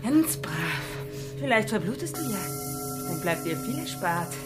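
An actress playing a brisk, bossy, cold-blooded woman with a clipped, sardonic manner in a radio thriller. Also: medium pitch, big pitch swings. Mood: mocking, cold. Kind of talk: German radio thriller acting. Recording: music bed behind voice.

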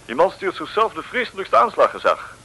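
An actor in a 1950s radio serial playing a breezy, tactless colonel who talks in a jovial, patronising way and repeats himself. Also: male voice, high voice, sing-song, a little fast, pushed and bright, husky, heavily acted, rough recording. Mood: chummy.

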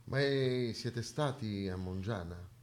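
Male stage monologuist, lowish voice, emphatic and rhetorical delivery. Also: faint music behind. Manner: emphatic, rhetorical, with dramatic pauses. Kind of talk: theatrical monologue. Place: theatre stage, live performance.

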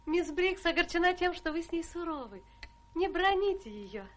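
A young governess speaks in a radio drama with a very high voice. She is sweet and demure, always ingratiating in a theatrical way. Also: big pitch swings, normal speed.

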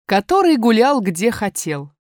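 Female storyteller for children's tales: expressive, tender, emphatic on repeats, close dry studio sound. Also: a little high, a little slow, no room sound. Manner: addresses a small child tenderly, emphatic repetition. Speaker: audiobook narrator.

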